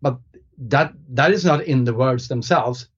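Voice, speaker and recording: A man's voice: medium pitch, unhurried, husky. A man whose first language is Swedish, a guest on a podcast, thinking aloud in casual conversation with frequent fillers and restarts. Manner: thoughtful, hesitant with many restarts and fillers. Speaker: guest author. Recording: slightly dull lo-fi sound.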